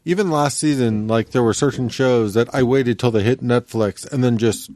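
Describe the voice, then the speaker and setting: A man talks as a podcast co-host in a casual, measured way, working through his opinions as he goes. His voice sits at a middle pitch, and the sound is close and dry. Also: darkish tone, no echo. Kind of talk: casual panel chat about comics and TV.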